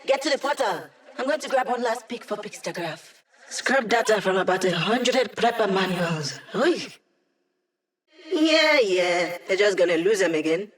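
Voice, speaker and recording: An actress plays a young woman hacker, a video game character who exists as a projection. She talks in a breezy, casual way with a medium-pitched voice. Her delivery is wry and quippy, with a playful, teasing edge. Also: lively intonation, moderate pace, lightly acted. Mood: dry, upbeat. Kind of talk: scripted game character voice lines.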